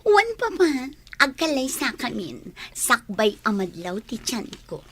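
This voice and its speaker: Husky, high-pitched earnest young bride acted by a woman. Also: sing-song, unhurried, a bit bright, no echo, exaggerated voice. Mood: pleading, determined. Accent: Ilocano Filipino. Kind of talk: Ilocano radio drama acting. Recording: music playing behind the voice.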